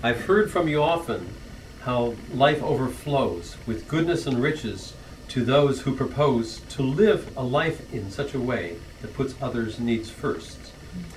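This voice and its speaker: A middle-aged man reads his own rhyming poem aloud, earnest, pausing now and then with a soft laugh. His voice sits at an ordinary pitch. He is heard from across a church hall on a camcorder, with faint room noise behind him. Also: lively intonation, recorded from a distance. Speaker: son reading an anniversary poem.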